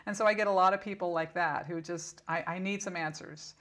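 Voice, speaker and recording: A woman's voice: on the low side, fairly even intonation, brisk, a bit bright. A woman speaking as an interview guest and spiritual teacher, explaining conversationally in an instructive, emphatic manner.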